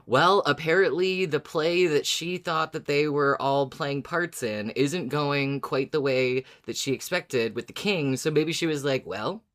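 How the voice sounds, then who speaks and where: Podcast co-host, medium pitch, conversational and hedging, works thoughts out mid-sentence. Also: measured pace, hardly any pauses, fairly bright tone. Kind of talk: casual discussion of an audio drama episode.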